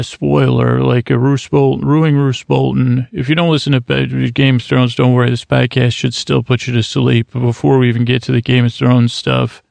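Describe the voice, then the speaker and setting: A man's voice: mid-range voice, vocal fry, no echo. A man hosting a sleep podcast, rambling and wandering off topic, often stopping and restarting, close to the mic. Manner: meandering, self-interrupting, over-explaining. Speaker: podcast host. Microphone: close studio mic.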